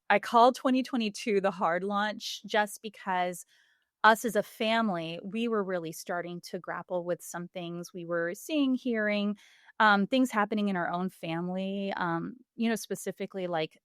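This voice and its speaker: A woman's voice: medium pitch, moderate pace, smooth, dry recording, clean studio sound. A woman in her forties from Puerto Rico, a singer and voice coach, speaking candidly and reflectively in a podcast interview, with frequent fillers and laughs. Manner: earnest, reflective, laughs often, many fillers.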